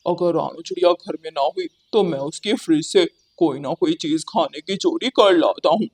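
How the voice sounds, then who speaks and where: A woman's voice: low-pitched, normal speed, a little mellow, slightly rough. A woman voicing a sneaky, greedy male crow in a cartoon, with a scheming, self-satisfied manner.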